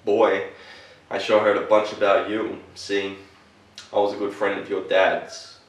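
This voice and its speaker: A man acting a solemn military veteran in a dramatic film monologue, telling an earnest story to a little boy with deliberate, halting phrasing. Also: fairly low pitch, flat delivery, far from the mic, lightly acted. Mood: solemn, friendly. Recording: echoey room.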